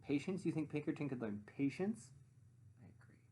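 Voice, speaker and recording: A man narrating a children's story expressively as a read-aloud teacher, pausing to muse and put questions to listeners. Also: a little high, slight background noise. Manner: animated, pausing to muse and prompt.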